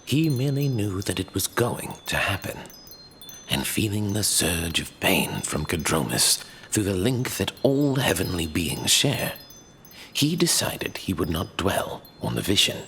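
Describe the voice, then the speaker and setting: Man playing a slow, deep, theatrical archivist storyteller. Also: sing-song, fairly bright tone, a little hiss or hum, full character voice. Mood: grave, portentous. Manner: grave, theatrical, measured. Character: old learned male archivist telling a legend. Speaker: narrator. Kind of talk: dramatic fantasy audio drama storytelling.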